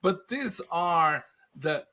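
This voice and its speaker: Animated man with a bright Brazilian accent. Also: a little high, extremely slow. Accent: Brazilian Portuguese. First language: Portuguese. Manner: animated storyteller, rhetorical questions, laughs at own punchlines. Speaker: podcast guest, industry analyst. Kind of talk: conversational interview answers.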